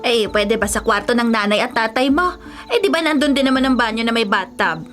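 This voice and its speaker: A woman's voice: on the high side, fairly quick, fairly bright tone, faint music behind, close to an everyday voice. A woman playing an irritable, demanding middle-aged aunt in a Filipino radio drama, pushy and full of complaints. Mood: wheedling, miffed.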